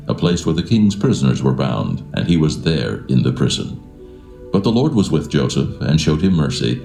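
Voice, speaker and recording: A man's voice: extremely low pitch, gravelly, mellow, reverberant. A man narrating scripture from a script in a solemn style.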